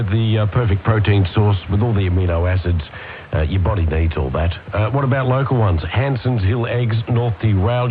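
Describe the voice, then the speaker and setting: A man with a lowish voice gives a chatty advert read, casual and a little halting. It sounds slightly dull, as from a radio, with soft music underneath. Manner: casual, hesitant delivery. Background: faint music.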